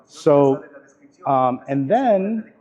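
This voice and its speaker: A man teaches a class to a room of students, casual and conversational, dropping ums as he goes. His voice is mellow and unforced. The recording has a dull, lo-fi sound. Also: fairly high pitch, very slow. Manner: relaxed, chatty, with frequent fillers. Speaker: workshop instructor. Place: indoor workshop in a camera store.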